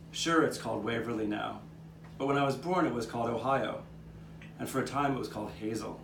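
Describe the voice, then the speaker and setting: A man playing an old street cat who reminisces in a measured, reflective way, as scripted narration for a live puppet show. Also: fairly low pitch, gravelly. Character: old male street cat, reminiscing storyteller with nine lives. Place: outdoor courtyard. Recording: distant, echoey live taping with background noise.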